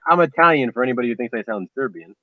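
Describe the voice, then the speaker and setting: A man with a Boston accent chats with friends in an easygoing way. His voice sits at a mid pitch. The sound is dull and dry. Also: animated, very fast, no echo. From Massachusetts. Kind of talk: casual group chat.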